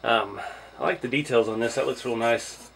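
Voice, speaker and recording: Regular guy, mid pitch, hobby review vlog, easygoing and thinking out loud. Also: normal speed, creaky, slight background noise, low-quality recording. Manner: informal, thinking aloud, chuckles often. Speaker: hobby vlogger reviewing an RC truck. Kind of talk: casual hands-on product first look.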